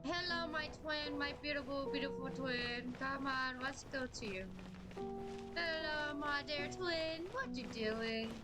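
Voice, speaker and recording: Young woman, very high pitch, slow pace, silly casual playthrough chat, loud game music behind. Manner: playful, silly. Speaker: game streamer.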